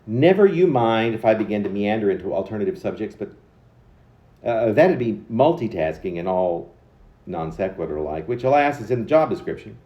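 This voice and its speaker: A roomy, slightly dull home recording of a man reciting poetry. His delivery is theatrical and mannered, with pitch that swings widely and halting, dramatic stresses on chosen words. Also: sing-song. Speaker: poet reading own work.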